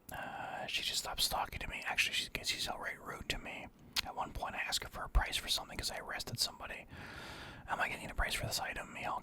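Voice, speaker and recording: A man telling a personal story casually in a breathy whisper, animatedly acting out both sides of conversations. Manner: animated retelling with quoted dialogue, swearing freely.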